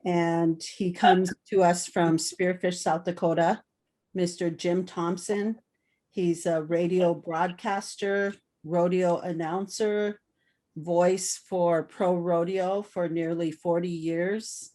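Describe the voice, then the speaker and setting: A woman hosting a gathering, introducing guests in a soft-spoken, gently halting way. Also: on the low side, fairly even intonation. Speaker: event host. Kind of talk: welcoming introductions.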